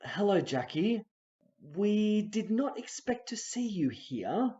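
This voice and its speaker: A woman's voice: mid-range voice, very animated, takes it slowly, warm tone. A woman playing a reassuring, firm, affectionate young husband in an audio play. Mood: stiff. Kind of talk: amateur audio play acting. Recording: dull, muffled lo-fi.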